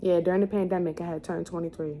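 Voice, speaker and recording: A woman in her twenties speaks in a fairly low voice, as if chatting to her vlog viewers. She thinks aloud in a rambling way, doubling back to restart and correct herself. Some ambient noise sits behind her words. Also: fairly even intonation, fast talker, mellow. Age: 20s. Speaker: vlogger. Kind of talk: casual chat, answering questions to camera. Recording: clearly noisy room ambience.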